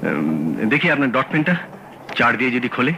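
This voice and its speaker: An actor playing a shrewd, inquisitive train passenger who reasons aloud, in a vintage radio drama. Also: male voice, medium pitch, normal speed, vocal fry, noisy background. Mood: probing. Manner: reasoning aloud, lively and probing.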